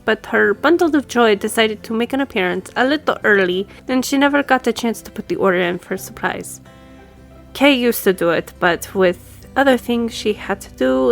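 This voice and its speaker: A woman's voice: fairly high pitch, vocal fry. A woman playing a friendly, businesslike law firm boss in an audio roleplay, speaking conversationally to the listener. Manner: businesslike, conversational, lightly teasing. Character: brisk, friendly female law firm boss giving instructions.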